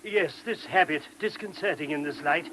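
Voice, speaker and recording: An actor playing an agitated but courteous British monk who stammers urgently, in an old-style radio play. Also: male voice, a little high, normal speed, fairly bright tone, lightly acted. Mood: flustered, urgent. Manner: flustered, stammering, urgent, polite. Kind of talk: mid-20th-century British radio play acting. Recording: noisy vintage radio drama recording.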